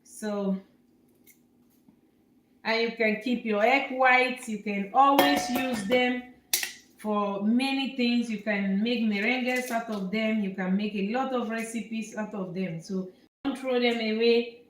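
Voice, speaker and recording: Slow-speaking woman chef in echoey kitchen. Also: fairly high pitch. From Nigeria. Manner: slow, repetitive explaining with fillers. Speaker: cooking show host, chef. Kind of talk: live cooking demonstration. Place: home kitchen. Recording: echoey kitchen, faint noise.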